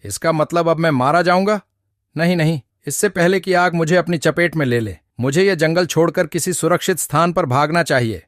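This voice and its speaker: A man playing a foolish, self-important and easily panicked donkey in a children's animated story, with a boastful, dramatic manner. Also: a little high, moderate pace, no echo, natural voice. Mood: panicked, hurried.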